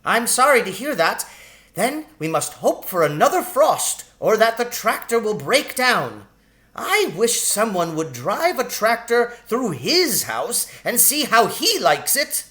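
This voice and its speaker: A tiny lady shrew acted out by a man grumbles her way through a storybook, pitched far up high. She is sharp-witted and short-tempered, and brisk in how she exclaims. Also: very animated, heavily acted. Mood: gruff sympathy, muttering. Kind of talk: storybook character voice in an audiobook reading.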